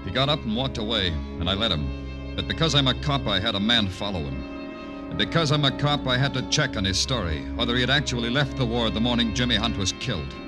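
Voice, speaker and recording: A man's voice: medium pitch, expressive, brisk, music in the background, lightly acted. An American man playing a tough, terse police detective who narrates his case in an old-time radio crime drama. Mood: brooding narration. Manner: clipped, hard-boiled.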